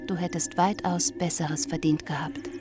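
A woman narrator reading scripted documentary passages for a radio drama in a factual, measured way. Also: lowish voice, fairly even intonation. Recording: music under the voice.

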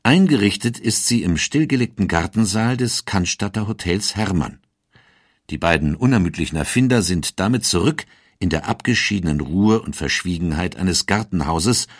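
Male narrator for historical audio documentary; husky, expressive, emphatic storytelling, close dry sound. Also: sits low, dry recording.

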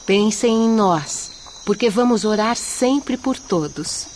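A woman's voice: medium pitch, expressive, takes it slowly, darkish tone. An actress in a radio drama playing a humble, devout woman with a polite, pious manner. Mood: tender farewell. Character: humble, devout female friend. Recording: background noise, chirping crickets.

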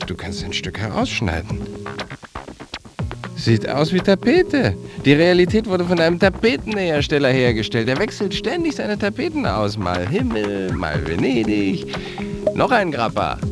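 An actor playing a musing drinker who philosophises in a literary radio drama. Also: male voice, fairly high pitch, wildly swooping pitch, a little slow, loud music behind the voice, full character voice. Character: musing wine drinker philosophising on his blurred view.

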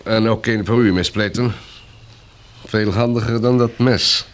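An actor playing a brisk, bureaucratic colonel in a radio drama, with a clipped, orderly way of questioning. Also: male voice, fairly low pitch, steady tone, close to an everyday voice. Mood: businesslike, practical. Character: brisk, bureaucratic male colonel running a survivors' camp. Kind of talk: Dutch radio drama acting.

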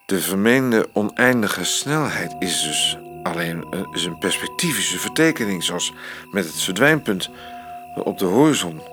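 Tipsy, musing astronomer, swooping pitch. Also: male voice, fairly bright tone. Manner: halting, rambling, thinking aloud. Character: drunk male astronomer, brooding and musing aloud. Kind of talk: radio drama monologue.

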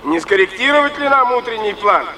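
An actor playing a courteous, concerned supervisor reporting in an official, earnest way in a Soviet radio drama. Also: male voice, extremely high pitch, animated, a little fast, pushed and bright, a little hiss or hum, exaggerated voice. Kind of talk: Soviet radio play acting.

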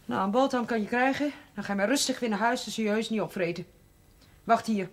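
Actress as a shrill, scolding priest's housekeeper. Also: female voice, quick pace, close to an everyday voice. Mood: gruff, dismissive. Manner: scolding, blunt. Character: grumbling, bossy female housekeeper of a parish priest. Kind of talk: television period drama acting. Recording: noisy old television drama soundtrack.

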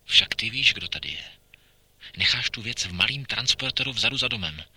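An actor playing a curt, shady gangster leaving conspiratorial instructions in a radio play. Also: male voice, fairly low pitch, lively intonation, brisk, sharp tone, slightly rough, dry recording, full character voice. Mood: terse, threatening. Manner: terse, conspiratorial. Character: curt shady male gangster caller giving covert instructions. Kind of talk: radio drama acting, answering machine message.

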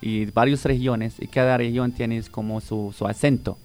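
A man's voice: medium pitch, fairly even intonation, normal speed, darkish tone, soft background music. A man from Palestine whose first language is Arabic, a teacher and radio guest who explains greetings patiently and repeats each word for emphasis. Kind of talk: radio interview, teaching words.

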